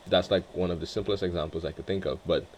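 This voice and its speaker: A man from Guyana hosting a relaxed game let's play, talking in a laid-back, reflective way with many filler words. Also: low-pitched, fast talker, background noise. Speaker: Minecraft let's play host. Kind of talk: relaxed gameplay commentary.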